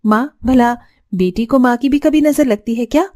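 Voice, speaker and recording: A woman playing a gentle, humble, respectful young bride in a cartoon story. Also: on the high side, moderate pace, natural voice. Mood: affectionate.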